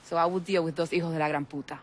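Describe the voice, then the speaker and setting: Woman playing tough cuban smuggler lady, kinda low voice, blunt n bossy, bit of noise behind. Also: steady tone, very fast, natural voice. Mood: resolute. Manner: blunt, commanding, dropping Spanish curses. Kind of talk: cinematic game acting. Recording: faint ambient noise.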